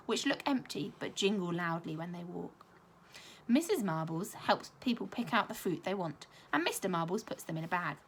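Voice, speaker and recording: A woman reading a children's story aloud like a primary school teacher, expressive and clearly paced. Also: medium pitch, slight background noise.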